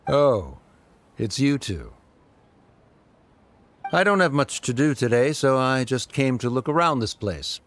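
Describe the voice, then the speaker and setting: A man's voice: medium pitch, big pitch swings, measured pace, long pauses, a bit bright. A man playing a stern, middle-aged scholar father in a game, speaking formally and with restraint. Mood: reserved.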